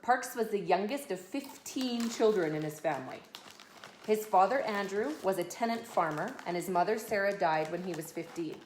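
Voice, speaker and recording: A woman's voice: medium pitch, lively intonation, moderate pace. Woman reading aloud in an echoey room. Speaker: mother reading a book aloud to her children. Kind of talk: read-aloud of a children's biography with casual asides to kids. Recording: echoey room, faint background noise.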